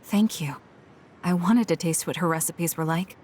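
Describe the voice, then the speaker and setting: A woman's voice: on the low side, normal speed, darkish tone, lightly acted. An actress playing a stern, reserved but caring young female soldier in a video game, with a cool, matter-of-fact delivery. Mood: reserved, softened. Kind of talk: video game English voice acting. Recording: game music behind the voice. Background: game music.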